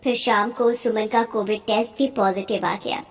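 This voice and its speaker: A woman's voice: mid-range voice, fairly even intonation, moderate pace. A woman storyteller reading scripted narration for a cartoon story.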